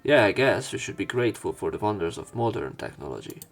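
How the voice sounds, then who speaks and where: A man reading visual novel text aloud as a let's play narrator, breaking off into halting, wry casual asides. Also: fairly low pitch, very animated, gravelly. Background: faint game music.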